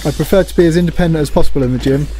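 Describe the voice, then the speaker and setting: This is a middle-aged man giving an instructional voice-over. His voice sits a little high for a man and has a very mellow, rounded tone. He explains things plainly and practically, with some music behind him. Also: rapid-fire. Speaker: presenter demonstrating gym exercises.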